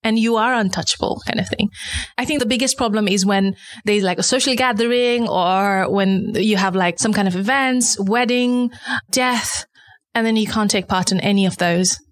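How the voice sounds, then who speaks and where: A woman's voice: medium pitch, moderate pace. A woman from eastern Nepal with a Nepali accent, answering interview questions in an explanatory, outspoken, conversational way. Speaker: interview guest, company founder.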